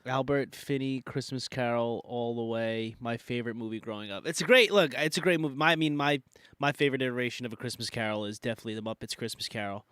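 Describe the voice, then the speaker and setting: A man's voice: mid-range voice, quick pace, fairly bright tone, clean tone, dry recording. Nasal man getting over a cold. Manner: rambling, many fillers and restarts. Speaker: podcast co-host. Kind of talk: casual debate chat.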